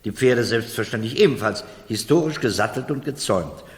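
An actor in a 1970s German radio play, playing a vain, self-mocking middle-aged gentleman who talks in a dry, insistent, aggrieved way. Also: medium pitch, expressive, brisk, lightly acted. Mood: reminiscing, boastful. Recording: reverberant room, vintage radio drama.

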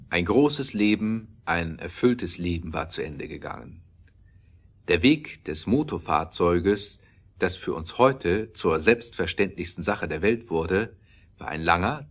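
A man narrating a scripted audio play as a storyteller, measured and with dramatic emphasis. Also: low voice, a bit bright.

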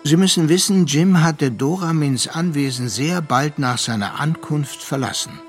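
Reflective male storyteller over music. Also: a little high. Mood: wistful recounting. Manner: measured, contemplative. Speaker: narrator. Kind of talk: literary radio play narration.

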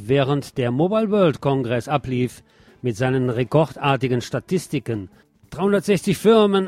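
A man's voice: mid-range voice, dark tone, dry recording. A man reads scripted radio commentary as a host, with an emphatic, indignant delivery. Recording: music bed under the voice. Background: music.

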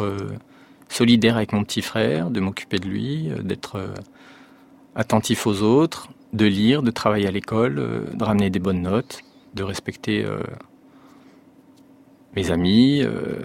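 A man's voice: fairly low pitch, unhurried, gravelly. A man in his forties, born in France, a novelist answering radio interview questions with many fillers and restarts. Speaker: novelist guest.

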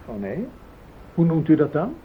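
An actor playing a blunt, accusing police investigator in a radio play, formal and pressing, with a Netherlands Dutch accent. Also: male voice, a little high, huge pitch swings, normal speed, husky, heavily acted. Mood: sceptical. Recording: muffled, noisy old radio recording.

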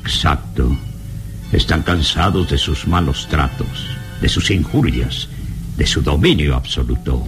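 An actor playing an anxious, suspicious professor in a vintage radio drama, speaking in a hesitant, dramatic way. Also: male voice, a bass voice, animated, music in the background. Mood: grave, fearful. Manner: hesitant, halting, dramatic delivery. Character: anxious, suspicious older professor warning of danger.

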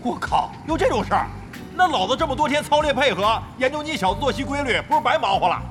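Audio drama rogue: cocky ageing gamer, very high-pitched, coarse and taunting, ringing in long reverb over music. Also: male voice, very animated, quick pace. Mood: indignant, suspicious. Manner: brash, taunting, coarse-tongued and long-winded. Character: crude, cocky older male veteran gamer, bandit-like taunter.

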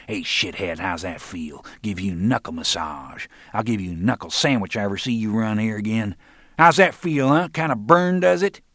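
A man's voice: low-pitched, a little fast, few pauses, no echo, clean studio sound. A man reading aloud, voicing a crude, menacing male thug who sneers and taunts. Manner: crude, sneering, swings from mild to shouting.

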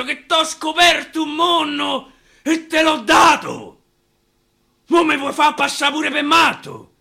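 A man voicing an outraged male explorer betrayed by his king, hurling indignant shouted accusations. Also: very high-pitched, creaky, pitched above the performer's own voice, exaggerated voice. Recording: guitar playing behind.

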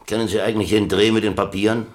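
A man's voice: on the low side, flat delivery, fast, lightly acted. A man playing a defiant, streetwise German prisoner in a radio play, with a dry, blunt way of talking. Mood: dry, controlled. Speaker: actor in a radio drama.

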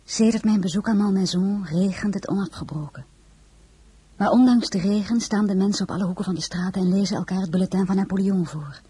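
Casting: anxious noblewoman, very husky and breathy, mid-pitched, reflective diary-style delivery for audio drama. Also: a little fast, mellow, no echo. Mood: somber. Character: anxious royal lady reading from her diary. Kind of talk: literary audio drama narration and dialogue.

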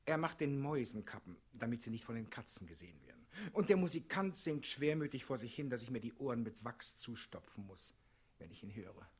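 Grim jailer for radio drama: rough husky tone, terse dutiful reports, swinging inflection. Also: male voice, medium pitch, fairly quick. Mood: aggrieved reporting. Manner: deadpan, terse. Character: grim, obedient prison warder reporting to his master. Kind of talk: 1960s German radio play acting.

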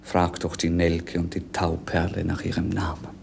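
An actor playing a young, world-weary romantic prince in lyrical, theatrical 1950s radio-play style. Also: male voice, low voice, monotone, moderate pace, creaky, a little mellow. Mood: dreamy, lofty. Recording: noisy 1950s radio recording.

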